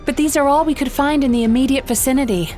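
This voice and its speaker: An actress playing a resolute, feisty young dream guardian in a video game. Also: female voice, sits high, quick pace, darkish tone, soft background music, natural voice. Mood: urgent, determined.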